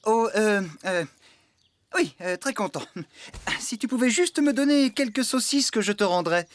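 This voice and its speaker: A man's voice: high voice, lively intonation, a little slow. A man voicing a hapless, easily flustered farmhand in a preschool cartoon, very expressively. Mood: awkward. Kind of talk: dubbed preschool cartoon acting.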